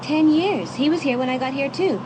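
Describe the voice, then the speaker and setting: A woman's voice: sits high, normal speed, natural voice. A woman playing a nervous, wary seventeen-year-old girl in a horror audio drama. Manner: questioning, anxious. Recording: loud vehicle noise behind.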